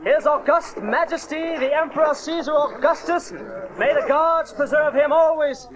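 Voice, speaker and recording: High-pitched, declaiming Roman herald. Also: male voice, moderate pace. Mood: formal, commanding. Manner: loud, formal, declamatory. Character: loud, pompous Roman herald proclaiming an imperial decree. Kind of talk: dramatized audio Bible acting. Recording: muffled cassette, crowd around.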